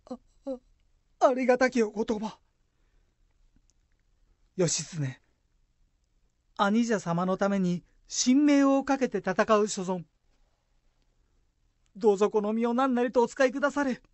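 A woman's voice: fairly high pitch, slow, long pauses, no echo. A woman acting in a Japanese radio drama as an earnest, devoted young samurai lord who uses formal, archaic speech. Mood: moved, fervent.

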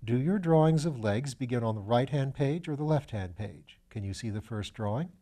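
A man reading a storybook as a calm, courteous gentleman detective, asking patient, gently coaxing questions in a measured way. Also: mid-range voice, lightly acted.

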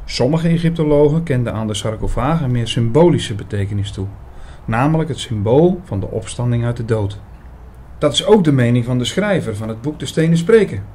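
A man's voice: medium pitch, animated, fairly quick, a little mellow, husky. A man playing an attentive investigator who narrates his own story in a scripted radio drama. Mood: measured, reflective. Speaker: narrator and lead actor in an audio drama.

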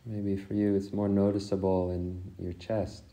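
Male meditation teacher: soft, calm and unhurried in phrasing, with a dark, relaxed tone and little melodic movement. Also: low-pitched. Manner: soft, gentle, calm, with long pauses. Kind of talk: guided meditation with a read-out reflection.

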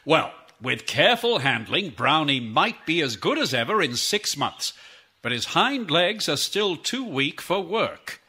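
Polite practical dad in kids radio play, husky, bright, swoopy voice. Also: male voice, fairly high pitch, sing-song, measured pace, full character voice. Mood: explaining. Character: practical, courteous father helping his children. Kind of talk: children's audio drama acting.

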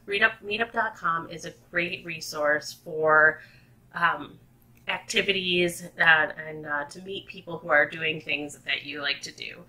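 A woman's voice: fairly low pitch, pushed and bright, rough recording. A woman presenting a casual, unscripted screen-recorded tutorial as a company co-founder, chatty and upbeat with many fillers and restarts.

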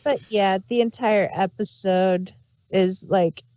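A woman's voice: medium pitch, fairly even intonation, takes it slowly, dark tone, no room sound. A woman reviewing an audio drama in casual podcast chat.

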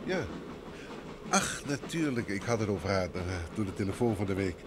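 A man's voice: on the low side, expressive, normal speed, natural voice. An actor playing a sociable, courteous male traveller in an old Dutch radio play. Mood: courteous, brisk. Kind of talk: mid-20th-century Dutch radio play acting. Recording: old radio recording, clear train noise.

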